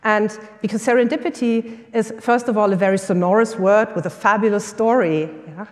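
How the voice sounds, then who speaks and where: A middle-aged woman with a German accent speaks in English at a conference, eager and full of "um"s and restarts. Her voice sits at an everyday pitch and carries a long reverb, like a hall. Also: moderate pace. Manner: enthusiastic, many fillers and restarts. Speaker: summit speaker, lecturer and coach. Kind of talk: conference talk.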